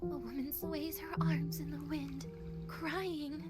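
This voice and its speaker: An actress playing a resigned, melancholy young woman in a video game, speaking softly and haltingly in a wistful way over loud music. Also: sits high, little pitch movement. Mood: wistful, haunted. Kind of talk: dramatic game voice acting.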